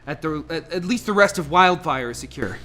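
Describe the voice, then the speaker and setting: Radio drama scientist, played by a woman: low-pitched, careful, reassuring, faint music underneath. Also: animated, fairly quick, a bit bright. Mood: measured, explaining. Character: cautious senior scientist trying to keep colleagues calm. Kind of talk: amateur radio play acting.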